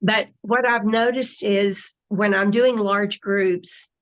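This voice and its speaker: A woman guest in a spiritual interview, explaining slowly and deliberately over a remote call. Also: mid-range voice, steady tone.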